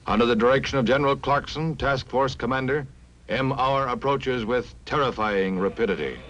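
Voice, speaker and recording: A man's voice: medium pitch, normal speed, a bit bright, muffled recording, faint music behind. A man with an American accent reading scripted newsreel narration in a dramatic announcer style, on a vintage 1950s soundtrack.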